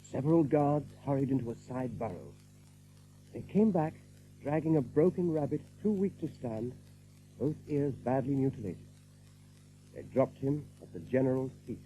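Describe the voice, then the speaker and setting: A man's voice: medium pitch, expressive, moderate pace, warm tone, faint music behind. A man giving dramatic audiobook narration as the storyteller of an adventure. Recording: narrow-band recording with faint hum.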